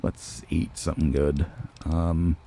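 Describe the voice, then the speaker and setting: Male let's player, deep mellow register, casual commentary, thinks out loud. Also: little pitch movement, slow. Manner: musing, thinking aloud.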